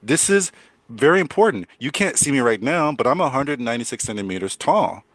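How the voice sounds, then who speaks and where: An older man with a deep voice teaching English in a chatty way, repeating words and laughing often. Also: sing-song, fairly quick, fairly bright tone, no echo. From United States. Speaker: English coach. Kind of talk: casual teaching conversation.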